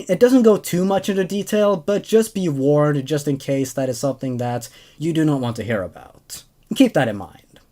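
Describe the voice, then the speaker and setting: A man in his twenties narrates creepy stories at a desk mic in a small room. His voice sits a little high and swings widely in pitch. He moves between scripted reading and casual host commentary with animated expression. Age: 20s. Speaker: host of a horror story reading show. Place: small room at home, indoors. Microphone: large condenser desk microphone.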